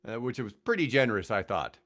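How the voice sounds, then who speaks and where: A man's voice: medium pitch, big pitch swings, fast, a bit bright. An older man telling stories casually as a podcast guest, in a joking, rambling way. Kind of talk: casual chat, storytelling.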